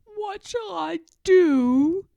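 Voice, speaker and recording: Storybook Toad, played by a woman: impatient, fretful male, emphatic drawn-out lines, very lively intonation. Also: very high voice, vocal fry, dry recording, highs cut off, pitched above the performer's own voice, exaggerated voice. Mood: dismayed. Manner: emphatic, loud, drawn-out exclamations.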